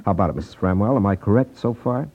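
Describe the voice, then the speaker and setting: An actor playing a shrewd, unflappable detective, wry and self-assured, in a 1950s radio drama. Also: male voice, lowish voice, animated, quick pace. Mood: confident explaining. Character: shrewd, unflappable male detective, coolly needling a crook. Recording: muffled vintage 1950s radio recording.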